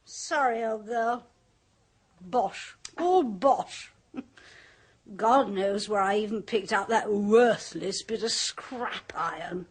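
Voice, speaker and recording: A woman's voice: mid-range voice, slow, husky. An actress playing a jaded woman who has faked being a spirit medium, speaking in a theatrical, mocking, clipped manner in a radio drama. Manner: theatrical, mocking, clipped upper-class delivery. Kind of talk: 1970s radio drama acting.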